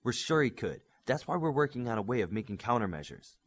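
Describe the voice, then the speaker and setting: A man's voice: mid-range voice, very animated, fast talker, breathy edge. Man voicing an earnest, methodical superhero tech expert. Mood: explaining. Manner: explanatory, methodical.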